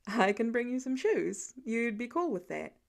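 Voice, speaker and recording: Woman voicing a casually gruff, fatherly man. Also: on the high side, very animated, unhurried, exaggerated voice. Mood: careful, coaxing.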